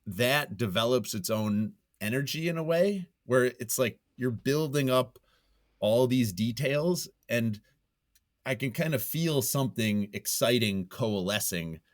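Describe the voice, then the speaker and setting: A man giving casual, reflective interview answers, thinking aloud in a halting way and circling back to repeat his phrases. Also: mid-range voice, no room sound, studio quality. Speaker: podcast guest, nonfiction writer.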